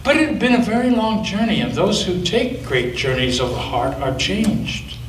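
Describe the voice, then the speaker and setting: A man, a pastor, reading a children's story aloud in a church with expressive storytelling, recorded from a distance with a roomy echo and faint hiss. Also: high voice, normal speed. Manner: expressive storytelling, with occasional slips.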